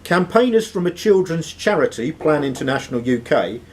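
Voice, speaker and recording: A man's voice: on the high side, very animated, moderate pace, darkish tone. A man reading quiz questions aloud as host of a live quiz. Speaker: quizmaster.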